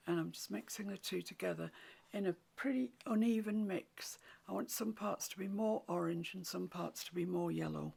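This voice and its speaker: A woman with a croaky voice, teaching a watercolour painting tutorial in soft, often half-whispered instructions. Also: fairly low pitch. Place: home room with a mantelpiece.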